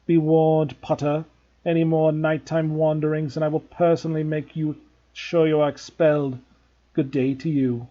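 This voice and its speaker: A man voicing a cold, menacing male schoolmaster who speaks slowly, smoothly and with a sneer. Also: on the high side, flat delivery, warm tone, highs cut off, natural voice.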